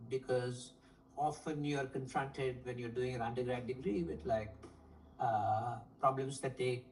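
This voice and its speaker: A man in his forties, an economics professor, answering interview questions thoughtfully over a video call with frequent pauses and fillers. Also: mid-range voice, darkish tone. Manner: thoughtful, hesitant with many fillers. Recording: distant from the mic, faint noise.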